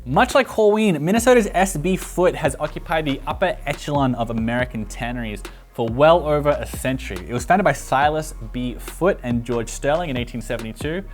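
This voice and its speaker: A man in his thirties with an Australian accent, hosting a casual explainer with lots of filler words, speaking into a lapel mic at a studio table. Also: medium pitch. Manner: chatty, fast, lots of "like" fillers. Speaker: boot reviewer host. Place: bright indoor studio at a table.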